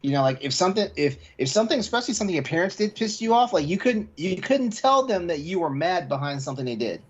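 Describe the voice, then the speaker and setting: A man's voice: high voice, very animated, fast talker, breathy edge, dull audio. A man co-hosting a podcast in casual, candid chat, emphatic and repeating phrases as he goes. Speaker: podcast co-host.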